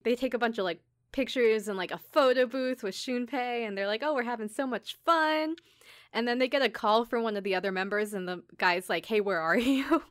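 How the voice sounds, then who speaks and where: A woman's voice: on the high side, a little fast, no room sound, clean studio sound. A woman podcast co-host telling a story in casual chat, informally, with lots of "like" and quoted asides. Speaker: podcast co-host recapping an anime episode. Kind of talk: casual chat, episode recap.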